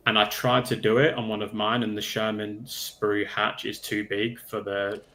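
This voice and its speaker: A man's voice: lowish voice, level tone, normal speed, sharp tone. A man talking casually in a livestream panel discussion, going into detail in a pedantic way. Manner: detailed, self-confessedly pedantic.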